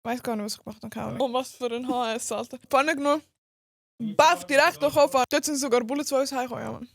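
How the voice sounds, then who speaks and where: A woman's voice: high-pitched, creaky. A woman with a Swiss German accent, a guest in a casual podcast interview, talking candidly and without filter. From Switzerland. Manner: candid, quick and unfiltered.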